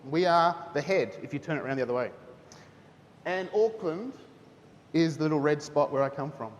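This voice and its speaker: A man's voice: lively intonation, normal speed, muffled recording. New man, echoey school hall. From New Zealand. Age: 30s. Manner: chatty, asks "okay?" often. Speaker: guest speaker, teacher. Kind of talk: school chapel testimony. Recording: hall reverb, faint rumble.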